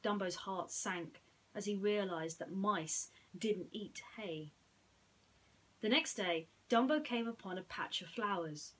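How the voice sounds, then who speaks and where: A woman storyteller with a breathy, slightly rough voice at a medium pitch. She speaks in the manner of someone reading a bedtime story and reflecting on it. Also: takes it slowly, a bit bright, rough recording.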